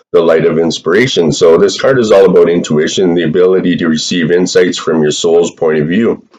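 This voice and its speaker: A man's voice: low-pitched, a little mellow, dull audio. A man giving a casual, chatty tarot reading straight to his viewers, with lots of fillers and the odd swear word. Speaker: tarot reader.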